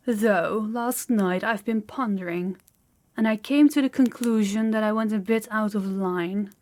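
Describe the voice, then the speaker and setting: An imperious young princess in a fantasy audio roleplay, played by a woman. She has a mid-pitched female voice and talks deliberately, with haughty condescension and an air of command. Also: a little mellow, lightly acted. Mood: conciliatory, measured.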